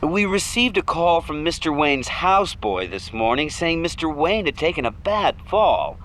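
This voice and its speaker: A man playing a smooth corporate spokesman in an audio drama, giving a formal statement. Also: a little high, sing-song, normal speed, fairly bright tone. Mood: formal concern.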